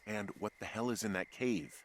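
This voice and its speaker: An actor playing a wary, steady male fire lookout with an American accent and a dry, plain-spoken manner, in a naturalistic game drama. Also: on the low side, moderate pace, very noisy, natural voice. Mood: curious.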